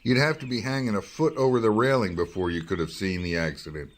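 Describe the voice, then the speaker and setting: A man's voice: lowish voice, fast, natural voice. A man acting in a radio drama as a wry, skeptical investigative reporter, both speaking in scenes and narrating. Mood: skeptical, probing. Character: wry, probing male investigative newspaper reporter.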